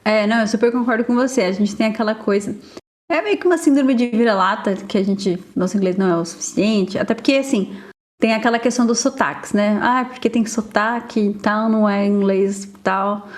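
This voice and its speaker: A woman's voice: medium pitch, fairly quick. A Brazilian woman talking casually as a podcast guest, chatty and full of fillers, often acting out what others said. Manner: chatty, many fillers, quotes others in lively asides. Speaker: guest software engineer.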